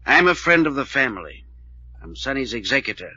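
An actor playing a stiff, defensive family attorney, earnest and self-justifying, in an old-time radio serial. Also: male voice, mid-range voice, big pitch swings, fairly quick, bright, forceful tone, breathy edge, no echo, exaggerated voice. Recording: dull, dry old radio recording.

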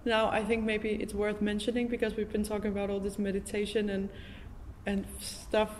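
A woman's voice: mid-range voice, completely flat delivery, moderate pace, a little mellow. A woman hosting a casual, reflective interview, thinking aloud in an informal, enthusiastic way. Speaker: interviewer, podcast host. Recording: noisy, reverberant hall recording.

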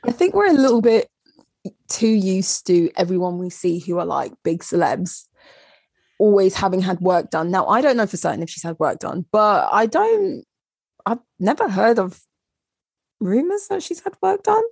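A woman's voice: mid-range voice, sing-song, normal speed, vocal fry, a little mellow, husky, no room sound, studio quality. A young woman co-hosting a podcast in casual chat, rambling with lots of fillers. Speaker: podcast co-host.

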